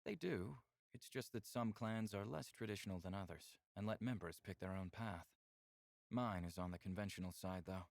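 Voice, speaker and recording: Game role: composed male alien, very deep, formal, measured delivery, dry studio sound. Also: creaky, dry recording. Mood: calm, candid. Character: reserved, candid male alien lover from a conservative clan. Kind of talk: visual novel character acting.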